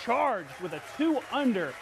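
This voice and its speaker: A man working as a TV sports anchor, narrating scripted highlights in an energetic, playful way. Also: measured pace, gravelly, a crowd in the background. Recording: music bed behind the voice.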